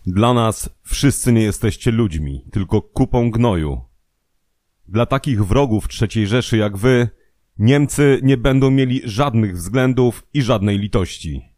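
A man's voice: fairly low pitch, unhurried, a little mellow, no room sound, clean studio sound, lightly acted. A man voicing a cruel, contemptuous Nazi camp officer in a menacing address within an audio documentary. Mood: cold contempt.

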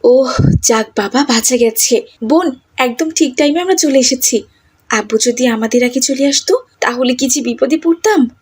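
A woman plays a scheming cartoon teenage girl with a high voice and slightly husky edge. She wheedles and brags breezily, like an older sister set on fame. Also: moderate pace, a little mellow. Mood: relieved.

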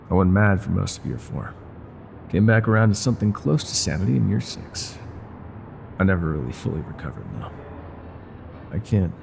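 An actor playing a weary, regretful older ex-mafia bar owner in an intimate, brooding roleplay monologue. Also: male voice, on the low side, vocal fry, dark tone, a little hiss or hum. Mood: weary, resigned. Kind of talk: intimate scripted roleplay monologue, ASMR audio drama.

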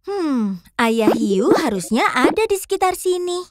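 A woman's voice: high-pitched, big pitch swings, unhurried, dry recording. A woman narrating a children's cartoon in a sing-song manner, speaking to young viewers.

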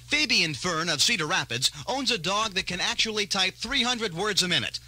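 A man's voice: sits high, normal speed. A man working as a morning DJ on a 1970s country radio show, with playful, joking patter and forecasts. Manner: joking, playful deadpan bits. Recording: 1978 AM radio aircheck.

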